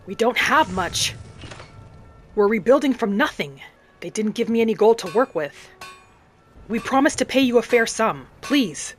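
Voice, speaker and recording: A woman voicing an earnest, vengeful young battle mage in a dramatic, deliberate first-person fantasy roleplay. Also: fairly high pitch, expressive, faint music behind, close to an everyday voice. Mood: earnest, pleading. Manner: dramatic, deliberate, thinking aloud in character.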